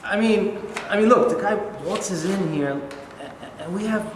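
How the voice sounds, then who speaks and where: A man's voice: high-pitched, normal speed, slight background noise, lightly acted. A man reading a hesitant, worried friend. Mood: wary. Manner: hesitant, stammering. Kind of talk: staged play reading. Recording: echoey studio room from a camera.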